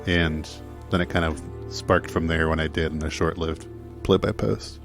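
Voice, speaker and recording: A man with a very low-pitched voice chats casually as a podcast panelist. His talk is informal and conversational, with music audible underneath. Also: steady tone, moderate pace, creaky. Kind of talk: casual chat. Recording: music playing behind the voice.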